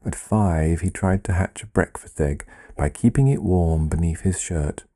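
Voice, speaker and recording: A man reading a literary fairy tale in a measured, dryly wry manner with deliberate pauses. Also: low voice, dark tone. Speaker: storyteller reading own written fable.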